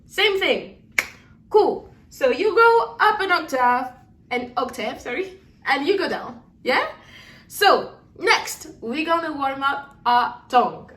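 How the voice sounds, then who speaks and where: A young woman with a high voice leads a singing lesson, relaxed and encouraging, often pausing to check in with her students. She sounds a little distant from the mic in an echoey room. Soft instrument music plays faintly behind her. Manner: demonstrates lip trills and sung syllables. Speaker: singing teacher. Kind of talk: singing lesson with vocal exercises.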